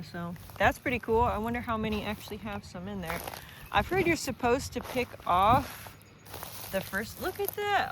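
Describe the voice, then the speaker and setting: A woman's voice: medium pitch, noisy background. A young woman, chatting casually in a farm diary vlog, her voice a little strained from talking. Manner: chatty, plainspoken, lots of fillers. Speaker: farm vlogger. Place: outdoors on a farm garden. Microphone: phone held while walking.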